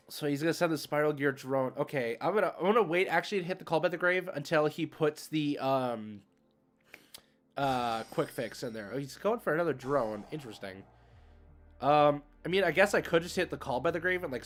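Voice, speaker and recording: A man's voice: on the high side, a little fast, fairly bright tone. A man giving casual live commentary as a game streamer, thinking aloud in informal gamer slang. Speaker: streamer hosting card game matches.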